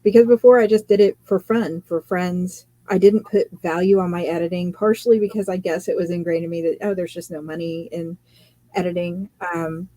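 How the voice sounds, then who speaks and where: A woman, a fantasy author and editor, telling her story in a casual live chat, chatty and quick to laugh, often quoting what others said. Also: lowish voice, fairly even intonation, mellow, dry recording, low-quality recording.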